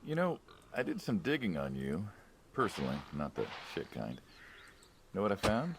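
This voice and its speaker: A man playing a wry, needling mob hitman in a game, gravelly and probing, like an old friend asking questions. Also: deep voice, wildly swooping pitch, moderate pace, noisy background, heavily acted. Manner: wry, probing, drawling sarcasm. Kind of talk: naturalistic video game dialogue.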